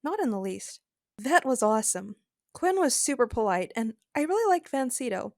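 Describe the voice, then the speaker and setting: Actress as a feisty, animated young-sounding space adventurer woman. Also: fairly high pitch, normal speed, vocal fry, no room sound, clean studio sound. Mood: reflective. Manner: candid, sardonic, emphatic. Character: frank, feisty, worried woman, a galactic adventurer. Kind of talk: first-person narration and dialogue in an audio drama.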